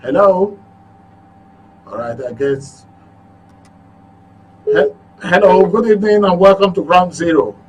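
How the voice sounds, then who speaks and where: A man's voice: sits high, expressive, slow, long pauses. A man with a Nigerian accent hosting a live radio phone-in show. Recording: noisy studio feed with hum.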